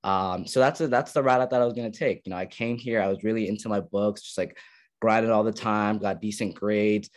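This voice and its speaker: Young Ethiopian-raised man, low voice. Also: a little fast. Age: young adult. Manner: relaxed storytelling with many fillers. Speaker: podcast guest. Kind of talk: casual interview chat. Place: college dorm room.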